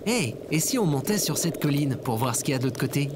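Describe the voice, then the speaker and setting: A man playing an upbeat, excitable lone survivor in comedic audio fiction, with an enthusiastic, exclamatory manner. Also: fairly high pitch, quick pace, close to an everyday voice. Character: upbeat, excitable male last survivor on Earth. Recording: noticeable background noise.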